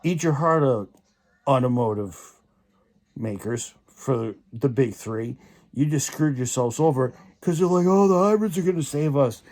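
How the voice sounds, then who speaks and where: Young man, casual vlog rant, rambling, lots of restarts, mid pitch. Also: unhurried, darkish tone. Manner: rambling, with many restarts and repeated "so". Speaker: vlogger.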